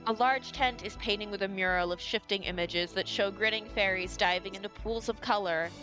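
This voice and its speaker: A woman's voice: medium pitch, brisk, low-quality recording. A woman running a tabletop game as dungeon master, narrating scenes and questioning the players. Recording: background music.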